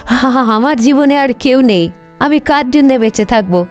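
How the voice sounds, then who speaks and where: Woman acting high, plaintive young cartoon bride. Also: animated, normal speed, a little mellow, lo-fi sound, soft background music. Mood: despairing. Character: sorrowful, anxious young orphaned bride.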